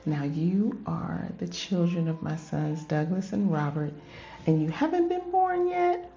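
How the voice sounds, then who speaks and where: A woman's voice: on the low side, lively intonation, unhurried, warm tone, dull audio. An older woman reading a picture book aloud expressively, in a grandmotherly manner, addressing young children and asking them questions.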